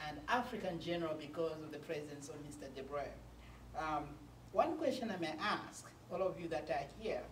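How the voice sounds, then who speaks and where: A male talk show host pauses often and fills the gaps with ums and uhs. He sits well back from the microphone in a roomy, echoing space. A steady noise runs under his voice. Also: a little high. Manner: halting, with frequent ums and uhs. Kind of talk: hesitant studio talk show hosting. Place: studio. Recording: roomy, distant mic, noisy.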